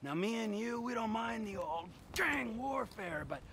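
An actor playing a volatile, sneering middle-aged criminal in a video game, with a gravelly, erratic, mocking delivery. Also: male voice, high voice, expressive, measured pace, a bit bright, a little hiss or hum, heavily acted. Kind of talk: video game cutscene acting.

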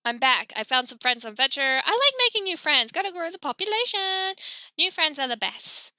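A woman hosts a casual let's play with a high, very bright voice. She chats and grumbles in an exasperated way, slightly hoarse. The recording is close and dry. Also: sing-song, moderate pace, no room sound. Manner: exasperated, chatty, reads game text aloud. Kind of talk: casual gameplay commentary.

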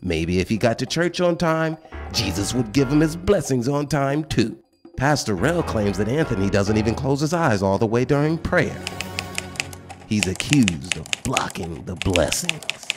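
An actor playing a solemn, mocking announcer narrating a parody court show. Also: male voice, mid-range voice, big pitch swings, unhurried, over music. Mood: dry, dramatic.

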